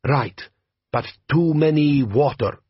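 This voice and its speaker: A man voicing a hearty, shrewd desert bandit chief who speaks slow, broken English. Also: fairly high pitch, husky, dry recording, highs cut off, pitched above the performer's own voice.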